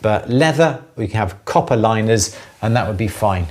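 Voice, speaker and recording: A man's voice: fairly low pitch, lively intonation, moderate pace, darkish tone. Chatty man with a light Welsh accent. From Wales. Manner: rambling, jokey, self-interrupting. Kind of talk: casual live Q&A chat.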